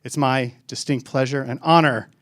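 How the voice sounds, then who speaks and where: A man acts as host at a morning event, introducing the guest speaker in a formal, read-aloud way. He slips in an um now and then as he goes. His pitch sits in the middle. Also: steady tone, normal speed, fairly bright tone. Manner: formal, reading an introduction with some ums. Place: event hall with audience.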